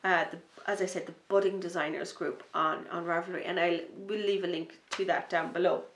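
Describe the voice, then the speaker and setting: A woman hosting a knitting podcast, chatting in a rambling, enthusiastic way, a little sniffly from a starting cold, sometimes dropping to a soft near-whisper. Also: on the low side, fairly even intonation, brisk, reverberant, a little hiss or hum. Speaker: knitting podcast host and designer. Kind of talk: casual chat to camera.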